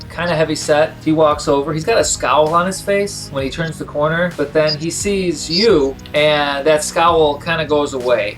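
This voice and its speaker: A man's voice: on the high side, a bit bright, rough recording. A man working as game master, narrating an improvised tabletop role-playing scene in a hesitant, piecemeal way.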